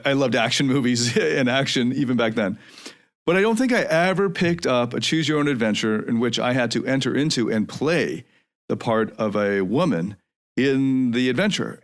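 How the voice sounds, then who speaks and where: Reflective man in his forties, thinking aloud. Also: medium pitch. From North America. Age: 40s. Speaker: podcast host. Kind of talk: solo podcast monologue.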